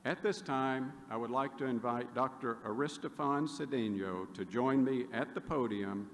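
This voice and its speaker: Male official, formal ceremony reading, measured, echoey hall. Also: mid-range voice. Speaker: university official. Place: large hall. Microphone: podium mic. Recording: echoey hall, faint noise.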